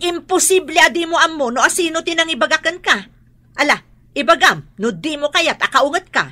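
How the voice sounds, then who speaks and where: A woman playing a hot-tempered, defiant mother in a radio drama, with a sharp, demanding way of talking. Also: sits high, expressive, fairly quick. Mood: demanding, suspicious. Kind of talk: Ilocano radio drama acting.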